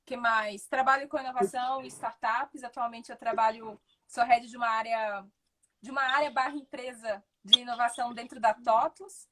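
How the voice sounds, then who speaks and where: A woman's voice: a little high, fairly even intonation, normal speed, pushed and bright, low-quality recording. A woman from in Brazil, speaking, hosting a friendly, upbeat live chat over a video call. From Brazil, Minas Gerais. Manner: friendly, upbeat, introduces topics. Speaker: host, entrepreneur.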